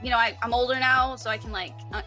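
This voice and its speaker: A woman's voice: on the high side, quick pace, sharp tone, soft background music. A woman chatting casually as an interview guest, effusive and informal, often breaking into giggles. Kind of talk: casual chat.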